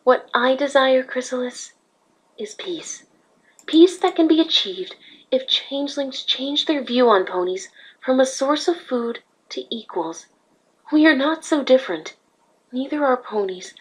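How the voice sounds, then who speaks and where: Woman playing a husky-voiced, regal pony princess. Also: high-pitched, lively intonation, a little slow, full character voice, poor audio. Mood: gentle, earnest. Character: calm, regal, gentle pony princess, female, peace-seeking. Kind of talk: fan fiction audio drama acting.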